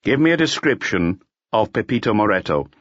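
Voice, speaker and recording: A man's voice: on the low side, very animated, a little fast, a bit bright, no echo, highs cut off. A man voicing a curt, brooding police inspector in an audiobook, questioning in a terse, brusque way. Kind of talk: audiobook character voice.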